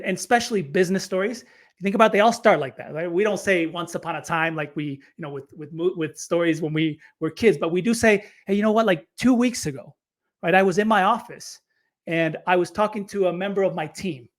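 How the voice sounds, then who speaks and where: High-voiced man explaining with energy. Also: brisk. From Chile. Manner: energetic, explanatory, repeats words when starting phrases. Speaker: storytelling coach. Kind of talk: podcast interview.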